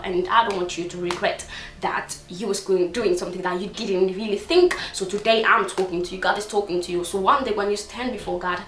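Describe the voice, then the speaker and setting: A woman's voice: medium pitch, fairly bright tone, recorded from a distance. A young woman from Congo preaching earnestly to camera from a small room, insistent and repetitive, asking rhetorical questions. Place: small room indoors, seated on sofa. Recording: echoey room, voice set back from mic.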